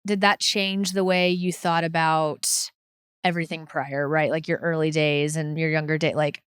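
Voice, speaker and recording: A woman's voice: sits low, flat delivery, moderate pace, clear, resonant tone, dry recording. American woman podcast host, dry close sound. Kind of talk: casual interview chat.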